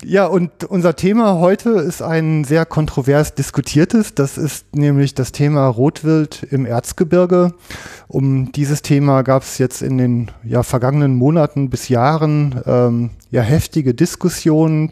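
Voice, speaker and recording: Mellow-voiced man hosting a podcast. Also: fairly high pitch, measured pace. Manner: hesitant, many fillers, rambling. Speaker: podcast host. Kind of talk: casual hunting podcast interview.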